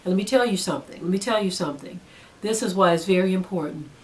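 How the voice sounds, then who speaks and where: A woman's voice: fairly low pitch, fast, poor audio. A woman giving an earnest spiritual teaching, emphatic and repeating phrases for stress. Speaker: religious teacher. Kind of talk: spiritual teaching, sermon-like monologue.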